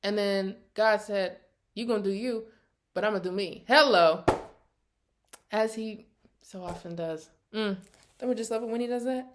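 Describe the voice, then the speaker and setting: An American woman in her early twenties chatting casually to her vlog viewers in an animated, sassy, slangy storytelling way. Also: medium pitch, moderate pace, long pauses. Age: early 20s. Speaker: vlogger.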